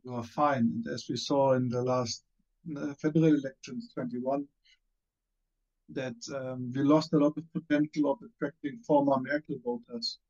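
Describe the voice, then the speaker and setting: German-accented male panelist on a muffled call, mellow tone, halting delivery, plenty of ums. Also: mid-range voice, fairly even intonation. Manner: hesitant, many fillers and restarts. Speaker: panelist, former member of parliament. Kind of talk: online panel discussion. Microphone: video call.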